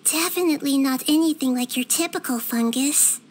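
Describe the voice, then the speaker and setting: A woman voicing a gentle, wise, child-sized nature deity in a video game, speaking in a calm, thoughtful, articulate way. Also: high voice, normal speed, a little hiss or hum, natural voice. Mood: curious, calm. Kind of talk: scripted video game dialogue. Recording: game music behind.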